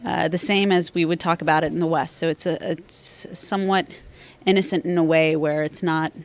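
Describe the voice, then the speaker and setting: A woman in her thirties, a former journalist and author, answering interview questions in a measured, thoughtful way with frequent fillers. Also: low voice, steady tone. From Afghanistan, raised in Virginia, USA.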